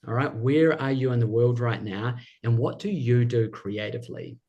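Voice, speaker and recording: A man's voice: medium pitch, normal speed, dark tone, lo-fi sound. A man with a New Zealand accent hosting a live webinar, enthusiastic and reading out viewers' chat messages. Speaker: webinar host and business coach.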